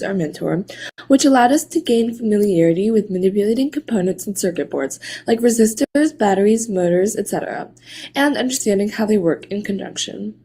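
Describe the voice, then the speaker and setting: A woman's voice: medium pitch, mellow, husky. A teenage girl student reading an explanatory, listing part of a science presentation. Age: teens.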